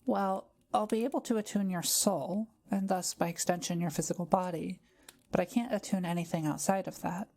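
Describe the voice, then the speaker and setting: Kind forest witch played by a woman for audio roleplay: scholarly, measured, lowish voice with a creaky edge. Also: fairly even intonation. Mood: calm, explanatory. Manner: gentle, measured, explanatory. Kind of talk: intimate audio roleplay, conversational.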